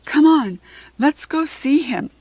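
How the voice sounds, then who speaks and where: A woman's voice: high voice, lively intonation, takes it slowly, darkish tone, no echo, pitched above the performer's own voice. A woman with an American accent voicing a kindly, eager female zebra fairy in a children's storybook.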